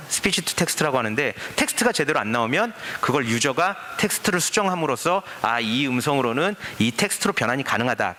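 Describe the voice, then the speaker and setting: Lively, bright-voiced man giving a talk. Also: fairly high pitch. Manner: lively explanatory talk with rhetorical questions. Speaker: forum speaker. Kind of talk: conference presentation. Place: conference stage.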